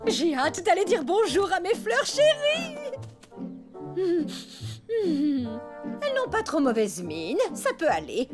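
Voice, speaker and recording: Fussy elephant neighbor lady, cartoon, very high, swooping sing-song, slow and drawn out. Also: huge pitch swings, music in the background, exaggerated voice. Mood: gushing. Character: fussy house-proud female elephant neighbor. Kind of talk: French cartoon dub.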